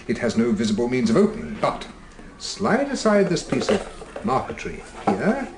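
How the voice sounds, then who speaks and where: An actor playing a genial, self-satisfied older British scientist in a radio drama, wordy, donnish and theatrical. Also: male voice, medium pitch, wildly swooping pitch, normal speed, background noise. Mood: showman, patient.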